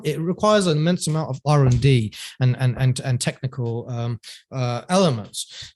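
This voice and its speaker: A man speaks as a podcast guest with a mellow, warm tone at a middle pitch. He rambles earnestly, restarting his phrases and checking in with "right?" The recording is dry and close. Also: no echo. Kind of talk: storytelling in an interview.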